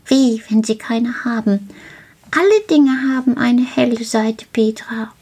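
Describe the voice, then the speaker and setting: A woman voicing a small, excitable male gnome who is eager, childlike and likes to repeat words in a storybook tale. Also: fairly high pitch, unhurried, husky, pitched above the performer's own voice. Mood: eager, explaining.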